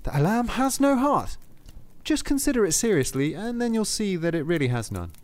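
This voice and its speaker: Greedy, sly old soldier, voiced by a man; lively, wheedling pitch. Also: moderate pace. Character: cunning, greedy, quick-talking old soldier.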